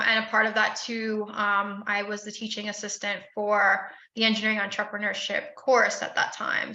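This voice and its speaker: Woman, thirties, interview guest, measured with fillers, crisp bright tone, slightly muffled sound. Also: medium pitch, echoey room. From Bahamas. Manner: frequent "um" fillers, friendly and measured. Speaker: podcast guest. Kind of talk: casual interview storytelling.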